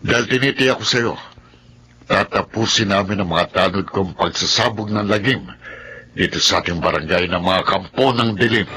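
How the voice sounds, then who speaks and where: A village captain played by a man speaks with firm, formal authority in a horror radio drama. His voice is low, over a noisy ambience. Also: normal speed, lightly acted. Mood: firm, reassuring. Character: firm, reassuring middle-aged village captain.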